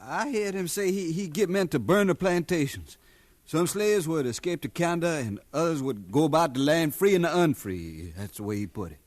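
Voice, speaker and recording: A man's voice: fairly high pitch, lively intonation, moderate pace, a little mellow, no echo, lightly acted. An actor playing a submissive, anxious enslaved informer who pleads with his master in a 1950s radio drama. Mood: nervous.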